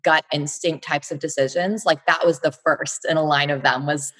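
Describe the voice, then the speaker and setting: A woman in her thirties from Texas speaks low and a touch husky. She tells her story reflectively and at ease, with easy fillers and pauses as she thinks. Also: steady tone, fairly bright tone. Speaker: guest, blogger and entrepreneur. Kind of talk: conversational interview.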